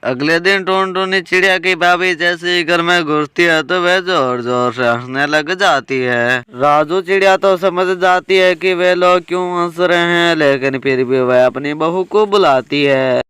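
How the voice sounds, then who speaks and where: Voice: medium pitch, unhurried, few pauses, a bit bright, clean tone, dry recording, clean studio sound. A narrator reading a scripted children's story in a storybook style.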